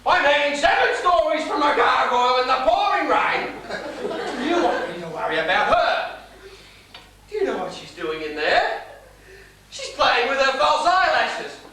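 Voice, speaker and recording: A man plays a blustering, exasperated father of the bride, ranting in a high, lively voice that swings up and down. He sounds soaked and battered, barking sarcasm on stage, picked up from far off in a very echoey space with clear noise behind. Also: moderate pace, some chatter behind, heavily acted. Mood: furious ranting. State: drenched and injured. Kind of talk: stage comedy acting.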